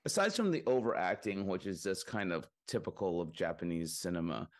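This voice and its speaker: A man's voice: deep voice, lively intonation, fairly quick. A man co-hosting a podcast, chatting casually about films in a rambling way with many fillers and restarts. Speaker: podcast co-host.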